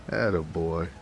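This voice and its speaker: An actor playing a jovial, affectionate big 1920s mobster with an American accent, in a folksy, teasing noir audio drama. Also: male voice, sits low, vocal fry, noisy background, full character voice. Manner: folksy, teasing, chatty, chuckling. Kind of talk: noir romance audio roleplay, 1920s gangster slang. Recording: faint rain ambience behind voice. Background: rain.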